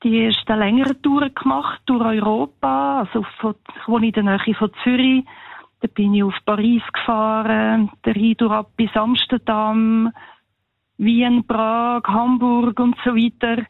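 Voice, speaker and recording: A woman's voice: a little high, fairly even intonation, measured pace, vocal fry, no echo, narrow, tinny audio. A woman with a Swiss German accent, an interview guest casually telling personal experiences, in band-limited sound.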